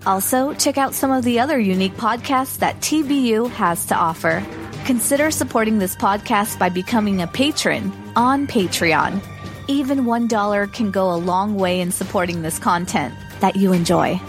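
A woman's voice: medium pitch, normal speed. A woman announcer reading a scripted podcast promo. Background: music.

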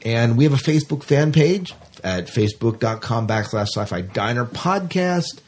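A man co-hosting a podcast in casual chat, with a husky voice. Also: mid-range voice, animated, normal speed, a little hiss or hum. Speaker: podcast co-host.

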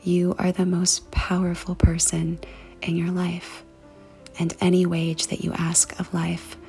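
Woman meditation guide, lowish even pitch, gravelly fry, hushed and deliberate, music behind. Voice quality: breathy, husky, creaky. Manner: soft, hushed, deliberate with pauses. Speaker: podcast host and meditation guide.

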